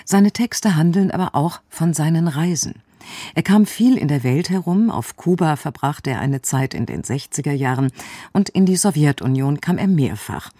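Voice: mid-range voice, expressive, moderate pace, dark tone, dry recording, clean studio sound. A radio presenter giving a scripted introduction to an audio drama. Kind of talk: scripted narration.

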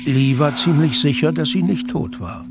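A man's voice: on the high side, animated, normal speed. A man narrating a scripted, dramatic audiobook as a storyteller. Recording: music bed under narration.

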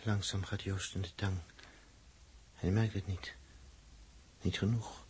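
An actor playing a grave, ominous man in a 1960s Dutch radio play, husky, talking in solemn, measured short statements. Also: low-pitched, little pitch movement, darkish tone, a little hiss or hum. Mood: grave, foreboding.

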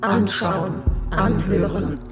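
A sentient machine played by an actor speaks very slowly and imperiously, brooding with resentment of humanity. The radio play lays loud music around the mid-pitched voice. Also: fairly even intonation, lightly acted. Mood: brooding, resentful.

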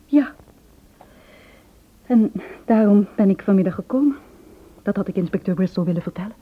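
A woman's voice: medium pitch, normal speed, breathy edge, close to an everyday voice. An actress playing a nervous, secretive young married woman who confides in a hesitant, earnest way in a radio drama. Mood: guarded, nervous. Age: 20s. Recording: muffled old radio play recording.

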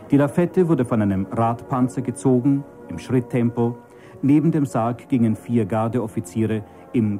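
Man doing a solemn news reporter, formal, lowish mellow voice, faint music under it. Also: lightly acted. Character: solemn male news correspondent reporting on state events.

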